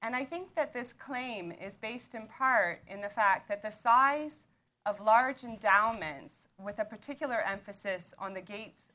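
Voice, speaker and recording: A woman in her thirties from Canada with a Canadian accent, an author speaking at a panel in a measured, explanatory way with occasional restarts. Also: mid-range voice, a bit bright. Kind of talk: lecture-style talk.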